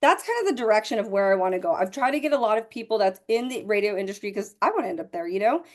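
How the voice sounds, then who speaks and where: A woman's voice: mid-range voice, lively intonation, very fast. A woman of thirty-one hosting a casual, chatty interview podcast in a slangy, enthusiastic manner. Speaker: podcast host.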